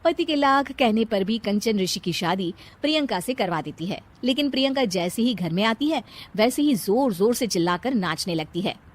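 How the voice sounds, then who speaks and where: A woman's voice: mid-range voice, lively intonation, normal speed. A woman narrator reading a scripted moral story for a cartoon.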